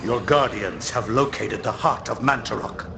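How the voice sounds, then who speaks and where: An actor playing a proud, scheming sorcerer in a horror game, formal, self-assured and commanding. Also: male voice, mid-range voice, moderate pace, vocal fry, lightly acted. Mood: confident. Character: proud, scheming mortal sorcerer serving dark gods. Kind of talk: theatrical horror game acting. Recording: echoey chamber, loud ambient noise.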